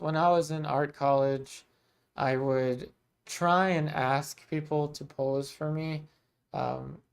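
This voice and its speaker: Man, 30s, from Maryland, gravelly, mid-pitched, laid-back livestream painting teacher. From Maryland, USA. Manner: relaxed and chatty, many ums and restarts, warm thanks to viewers. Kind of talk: casual livestream chat, reading viewer comments.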